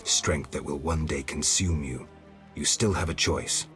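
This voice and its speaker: A stoic monster hunter for hire in a fantasy game. The voice is extremely low, and the lines come out terse and deadpan, with a world-weary flatness. Faint music plays underneath. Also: male voice, unhurried. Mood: terse, calm. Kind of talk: video game dialogue acting.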